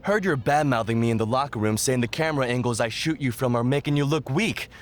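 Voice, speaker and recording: A man's voice: medium pitch, quick pace, slight background noise, close to an everyday voice. A man playing an offended, confrontational wrestling show cameraman in a video game cutscene, sounding indignant.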